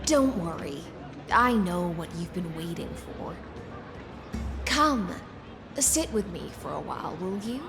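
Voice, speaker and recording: A woman's voice: fairly low pitch, animated, slow, long pauses. A woman voicing an elegant, gracious, secretive noblewoman in a video game, poised and coaxing. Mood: coaxing, confiding. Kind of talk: anime-style video game voice acting. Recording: long reverb, music behind.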